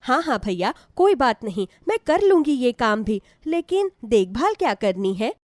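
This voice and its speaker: Casting: female performer as humble, worried young mother for a devotional story; high, animated, pleading. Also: moderate pace, no room sound, clean studio sound. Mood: earnest, humble. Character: devout, humble poor mother, earnest and worried. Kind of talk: Hindi devotional story acting.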